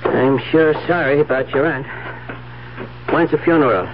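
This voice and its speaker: An actor playing a simple, sympathetic apartment building manager in a 1970s radio drama. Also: male voice, fairly high pitch, normal speed, natural voice. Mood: sympathetic, obliging. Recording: muffled, faintly noisy 1970s radio recording.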